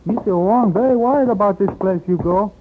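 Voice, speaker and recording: A man acting a high-voiced eager porter. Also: fairly quick, close to an everyday voice. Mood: eager, worried. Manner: clipped pidgin English, obliging. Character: eager, deferential Chinese hotel porter, simple and cheerful. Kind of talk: old-time radio drama acting. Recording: tinny, noisy old radio recording.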